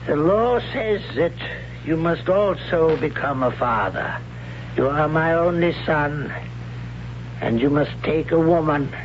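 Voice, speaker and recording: A stern religious father ruling his tribe. His voice is fairly high and slow, declamatory and commanding in old radio style. The recording is dull, with some hiss and hum. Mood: stern, solemn. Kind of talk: 1970s radio drama acting.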